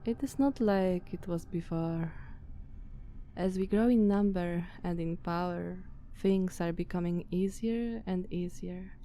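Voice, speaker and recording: A woman's voice: medium pitch, mellow, slight background noise. A woman with a Slavic accent playing a haughty, dominant, ancient female vampire in an ASMR roleplay, condescending and commanding, sometimes slipping toward a whisper.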